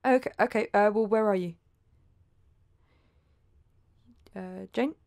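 An actress with a British accent playing a downtrodden, hesitant call-centre worker, halting and informal, in an audio drama. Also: female voice, mid-range voice, creaky, darkish tone, dry recording, close to an everyday voice. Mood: concerned, flustered.

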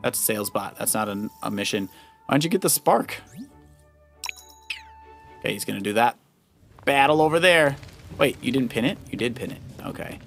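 A man talks casually over prominent video game music, coaching a player through the game. He explains options and gives advice in an everyday, mid-pitched voice. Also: lively intonation, normal speed, creaky, long pauses, fairly bright tone. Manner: advising, explanatory. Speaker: father co-hosting a children's let's play. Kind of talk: casual gameplay commentary and coaching.

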